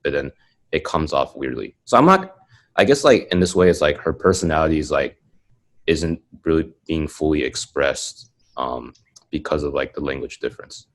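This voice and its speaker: A man's voice: a bass voice, expressive, normal speed. A young man in casual conversation, rambling and filling his speech with "like" and "um". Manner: rambling, heavy use of "like" and "um". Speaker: podcast guest. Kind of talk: casual chat.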